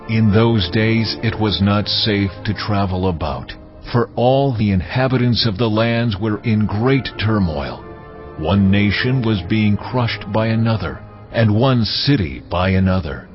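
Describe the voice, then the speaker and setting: A man playing a solemn Hebrew prophet exhorting a king and people in a dramatized audio Bible, with weighty, oratorical delivery. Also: on the low side. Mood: grave, encouraging. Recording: dull lo-fi sound, music behind. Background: music.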